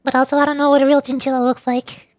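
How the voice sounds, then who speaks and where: A woman's voice: sits high, flat delivery, fast, darkish tone, no room sound. A woman streaming a game, chatting casually and rambling to her viewers in live commentary.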